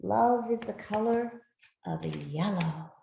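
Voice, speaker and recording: A woman reading a picture book aloud to children in a gentle, expressive storytelling manner. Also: medium pitch, takes it slowly, creaky, slight background noise. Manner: gentle, expressive, with soft asides to listeners. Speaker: author reading her own picture book. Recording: roomy, echoey sound.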